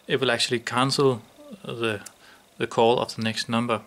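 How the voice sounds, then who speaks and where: Gravelly man demonstrating a product. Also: mid-range voice, little pitch movement, moderate pace, a bit bright.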